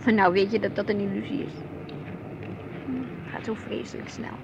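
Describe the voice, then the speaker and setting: An actress playing a wistful, discontented married housewife in a radio play. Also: female voice, mid-range voice, normal speed, background noise, lightly acted. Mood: melancholy. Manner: expressive, brooding, plaintive.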